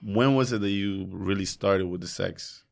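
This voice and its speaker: A man from Guatemala, a guest co-host on a podcast, asking questions in a halting way in a small studio at a boom mic. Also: vocal fry. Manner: halting, searches for words. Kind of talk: conversational interview. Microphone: studio mic on a boom arm.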